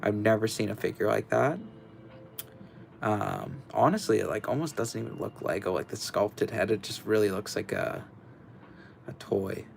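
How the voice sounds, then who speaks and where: A young man with a fairly low voice and a strong gravelly fry talks to his livestream audience. He rambles, trails off and restarts often, filling gaps with ums and likes. A faint background noise sits under his voice. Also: normal speed. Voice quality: deep, gravelly voice. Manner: rambling, hesitant, many fillers and restarts. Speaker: livestream host. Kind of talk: casual livestream chat while building.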